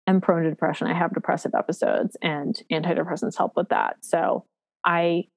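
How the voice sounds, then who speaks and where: A woman in her thirties telling her own story candidly, reflective and halting, with frequent fillers and restarts and a frank, self-deprecating edge. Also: fairly low pitch, fairly even intonation, vocal fry, no echo. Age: 30s.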